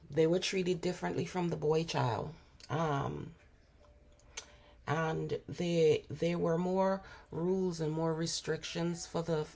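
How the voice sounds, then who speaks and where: A hoarse woman with a cold, giving a spiritual tarot reading in an unhurried, musing way with frequent pauses and fillers. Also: low-pitched, a little mellow. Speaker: tarot reader.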